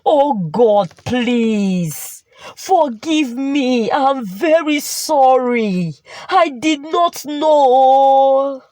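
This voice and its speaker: Repentant wife, played by a female storyteller: high, exclamatory, imploring, very slow. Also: expressive, dark tone, dry recording, exaggerated voice. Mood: anguished pleading. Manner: pleading, exclamatory, drawn-out phrases. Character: distraught, repentant married woman begging forgiveness.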